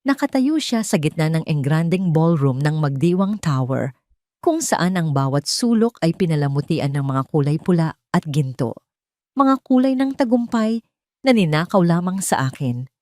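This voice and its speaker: A woman's voice: sits low, a little mellow, no echo, clean studio sound. A woman reading a dramatic first-person story as an audio narrator.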